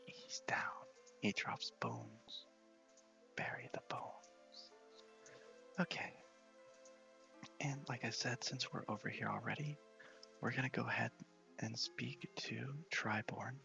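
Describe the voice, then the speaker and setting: A man softly narrating a video game in a casual ASMR style, hushed and often near a whisper. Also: mid-range voice, steady tone, slow pace, creaky, long pauses, lo-fi sound, faint music behind. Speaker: host of a gaming ASMR series.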